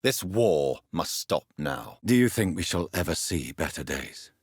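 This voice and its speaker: A man playing a grave, courteous gentleman doctor turned vampire in a video game, with an English accent and a formal, earnest, intense manner. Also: low voice, expressive, measured pace, breathy edge, no room sound, clean studio sound, heavily acted. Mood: grave, urgent. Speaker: video game lead character voice. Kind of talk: dramatic video game acting.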